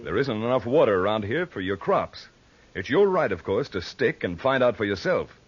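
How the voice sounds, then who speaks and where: An actor playing a firm, upright cowboy hero and peacemaker in an old-time radio western. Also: male voice, lowish voice, very animated, moderate pace, slightly rough. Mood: reasoning. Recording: muffled old radio recording.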